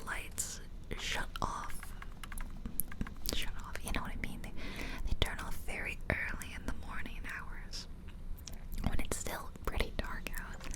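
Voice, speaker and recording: A woman whispering softly and breathily, close to the mic, giving casual, gentle ASMR commentary in a rambling, intimate way. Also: a little slow, long pauses, low-quality recording. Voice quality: soft, breathy unvoiced whisper. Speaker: ASMR video host. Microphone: close ear-to-ear ASMR microphone.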